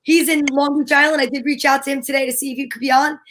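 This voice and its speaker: A woman's voice: high-pitched, flat delivery, fast talker, fairly bright tone, a little hiss or hum. A woman co-host in casual, rambling, joking conversation on a livestream. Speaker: show co-host. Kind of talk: casual chat.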